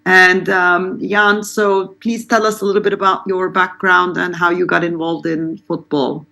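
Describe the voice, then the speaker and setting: A woman's voice: on the low side, little pitch movement, a little slow, creaky, sharp tone. A woman moderating a panel discussion in a conversational style.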